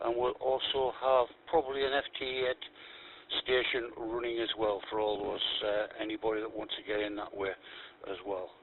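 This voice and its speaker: UK ham organiser, practical, tinny radio with faint hiss. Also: male voice, on the low side, little pitch movement, normal speed. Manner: practical, reads call signs phonetically. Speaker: event organiser. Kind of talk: amateur radio announcement.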